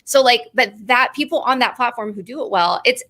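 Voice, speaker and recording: Woman, 30s, interview guest, bright tone, casual and talkative. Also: on the high side, brisk. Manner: chatty, says "like" often, laughs easily. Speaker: guest, video marketing expert.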